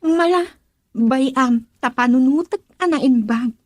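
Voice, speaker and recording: A woman's voice: high-pitched, takes it slowly, dry recording, soft background music. A middle-aged woman playing a prying, worried mother in a radio drama.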